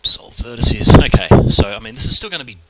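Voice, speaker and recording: A man with an Australian accent talking fast and casually through a screen-recorded modelling tutorial, muttering at the software as he works. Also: mid-range voice, very animated, crowd noise, low-quality recording. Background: keyboard typing and mouse clicks.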